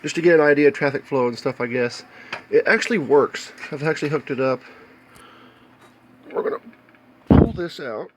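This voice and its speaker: American man, slightly high voice, halting explanations. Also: a little hiss or hum, rough recording. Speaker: hobbyist video maker showing electronics. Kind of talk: casual unscripted show-and-tell. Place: indoors, cluttered home workshop.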